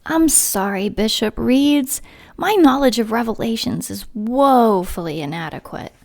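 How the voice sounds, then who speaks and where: A woman's voice: on the high side, animated, unhurried, creaky, a little mellow. A woman playing a polite, efficient, inquisitive assistant to a bishop in an audio drama, courteous and questioning. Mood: curious, concerned.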